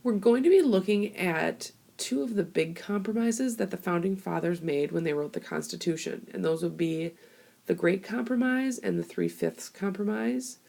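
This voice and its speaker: Hoarse woman teacher with a gravelly voice. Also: medium pitch, animated, normal speed, dark tone. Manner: casual, chatty explaining with fillers. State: hoarse from a cold. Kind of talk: classroom screencast lesson. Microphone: tablet microphone.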